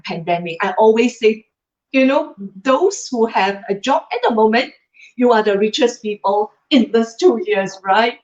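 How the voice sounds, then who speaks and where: A middle-aged woman whose first language is Cantonese, speaking on a livestream in a fairly high voice. She talks directly to her viewers, tagging her sentences with little questions. The room sounds roomy and the audio is a bit dull. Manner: addresses viewers directly, tag questions like "huh?" and "lah". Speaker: livestream host. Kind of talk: motivational livestream talk.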